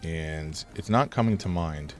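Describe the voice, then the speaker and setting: A man live-streaming a horror game, rambling through his commentary, chatting with viewers, and reacting loudly to scares, a little congested. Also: very deep voice, unhurried, soft background music. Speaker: gaming streamer.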